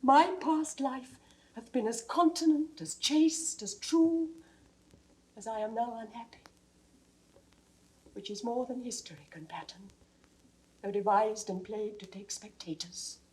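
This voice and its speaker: A woman acts out a noble queen wrongly accused, in a classical stage drama. Her voice is high, with a British accent, and her formal, measured delivery carries steadfast dignity. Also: close to an everyday voice, poor audio. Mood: sorrowful, dignified. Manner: formal, measured diction, sometimes dropping to hushed tones. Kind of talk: classical Shakespearean stage acting.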